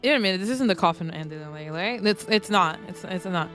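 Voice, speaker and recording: A woman's voice: fairly low pitch, animated, brisk, fairly bright tone. A woman streamer with a congested, throaty voice chatting playfully and reading game text aloud. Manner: playful and self-mocking, with frequent restarts. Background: game music.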